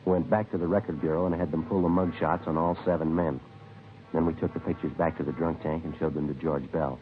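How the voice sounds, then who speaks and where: A dull old radio recording with faint music carries a very deep-voiced American police detective. He is terse, deadpan and businesslike, in clipped 1950s procedural style. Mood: terse, matter-of-fact. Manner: deadpan, matter-of-fact. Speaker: lead actor and narrator.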